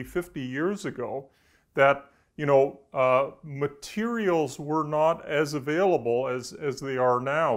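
A middle-aged man, explaining informally to camera as an engineer, in a halting, conversational way with repeated words. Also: mid-range voice. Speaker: audio engineer presenting. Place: indoor studio with backdrop.

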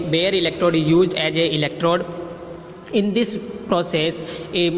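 Man, Indian accent, lecture, reading slides, high voice, roomy echo. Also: slight background noise. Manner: reads lists slowly, with restarts. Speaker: teacher.

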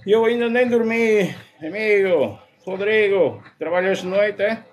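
A man in his thirties with a European Portuguese accent, talking casually and motivationally into a phone in an indoor gym. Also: high voice. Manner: brisk, motivational, answers questions aloud. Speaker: gym vlogger. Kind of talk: casual self-talk vlog. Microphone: phone held close, low angle.

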